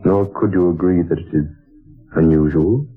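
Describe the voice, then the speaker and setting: An actor voices a wise older stranger with a very deep, unhurried voice. He delivers every line as a solemn pronouncement, patient and measured. The sound is thin and boxy, like an old radio broadcast with faint music beneath. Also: phone-quality sound, close to an everyday voice. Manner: slow, grave, measured pronouncements. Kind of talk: 1940s radio acting.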